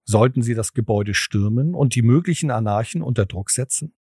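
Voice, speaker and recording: A man's voice: mid-range voice, lively intonation, fairly quick, mellow, breathy edge, no room sound, clean studio sound. A man narrating a scripted audio drama as its storyteller.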